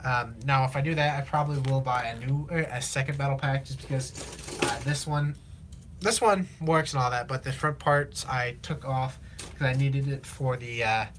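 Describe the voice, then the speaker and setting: A teenage man from Illinois, chatting casually on a live stream while he builds, rambling with lots of fillers, his voice slightly raspy from long talking. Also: medium pitch, background noise. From Illinois, USA. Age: teens. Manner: rambling, halting, many fillers and restarts. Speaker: livestreamer.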